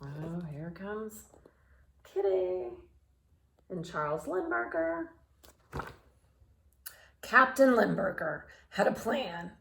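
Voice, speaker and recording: A woman storyteller reads to children with big, lively swings in pitch. Her pace is slow and unhurried. She is playful and expressive, often turning to speak straight to her young listeners. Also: vocal fry, slight background noise. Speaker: storyteller reading picture books aloud for children. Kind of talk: animated read-aloud with asides to young listeners.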